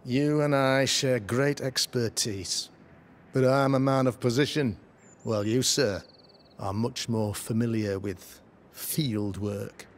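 An actor playing a pompous, pious, middle-aged preacher in a video game, with florid, oratorical delivery. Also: male voice, mid-range voice, slow pace, slight background noise, natural voice. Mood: grave, urgent. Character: pompous, pious preacher, learned man of the cloth. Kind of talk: theatrical video game acting, sermonizing.

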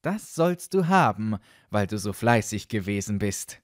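A man voicing a kindly old woman of fairytale magic, coaxing and gently instructing in a storybook reading. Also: lowish voice, big pitch swings, a little slow, no echo, clean studio sound. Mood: approving.

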